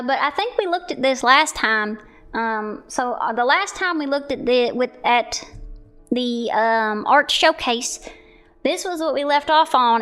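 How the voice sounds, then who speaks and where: A woman streamer talks casually to her viewers in a high, clear voice. She is American and plays up a Southern twang, with a chatty, apologetic, playful way about her. Music plays behind her. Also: bright, forceful tone. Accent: American, playing up a Southern US twang. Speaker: streamer showcasing viewer art. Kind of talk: casual livestream chat with viewers.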